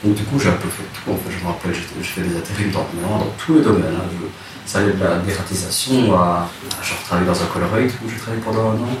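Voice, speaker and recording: Man in his thirties, very deep voice, halting and soft-spoken, distant mic. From Rwanda. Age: 30s. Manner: hesitant, many restarts and fillers, soft-spoken. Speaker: interviewee. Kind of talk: casual life-story interview. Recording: echoey room, distant mic, noisy.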